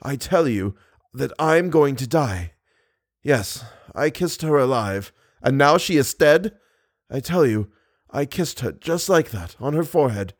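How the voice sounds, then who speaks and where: A man voicing a weak, dying masked man who is lovesick and broken, speaking in halting short phrases and gasping for breath. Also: mid-range voice, lively intonation, a little mellow, breathy edge, no room sound, studio quality. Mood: pleading.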